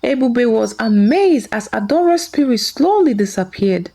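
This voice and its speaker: A woman's voice: on the high side, expressive, normal speed, warm tone. A woman narrating a scripted folktale as a storyteller.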